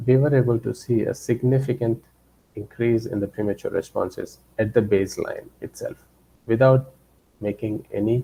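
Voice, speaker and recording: Male researcher, dark creaky voice, halting explanatory lecture delivery with frequent rephrasing. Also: on the low side, rough recording. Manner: halting, repeats and rephrases phrases. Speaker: researcher giving a guest lecture. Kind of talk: scientific presentation, explaining study results.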